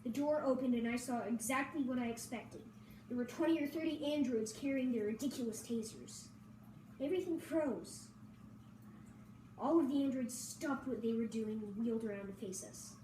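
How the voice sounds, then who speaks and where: High female voice, story narration, first person, distant mic, echoey room. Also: normal speed, mellow. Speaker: reader at a table read. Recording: roomy echo, distant mic, faint noise.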